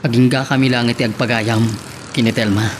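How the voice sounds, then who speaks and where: An actor playing an earnest, gentle young man in love in an Ilocano radio romance drama. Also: mid-range voice, fairly even intonation, a little fast, lightly acted. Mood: troubled. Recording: music behind the voice.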